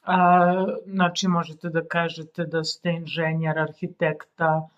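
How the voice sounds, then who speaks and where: A woman in her forties from Serbia with a Serbian accent, a native Serbian speaker giving an informal language lesson in a halting, deliberate way. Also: low voice, little pitch movement. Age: 40s. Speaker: language teacher. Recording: dull lo-fi sound.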